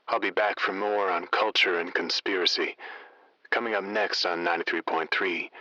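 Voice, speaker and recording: An actor playing a theatrical late-night local radio host in an audio drama, telling conspiracy lore in deliberate, wryly florid phrases. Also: male voice, sits low, sharp tone, no room sound, muffled recording. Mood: wry showmanship.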